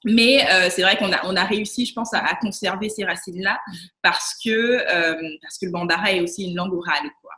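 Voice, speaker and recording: Ivorian woman, podcast host, casual questioning tone, average pitch, frequent restarts. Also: fairly quick, pushed and bright. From Côte d'Ivoire. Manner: conversational, hesitant restarts. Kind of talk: casual interview chat.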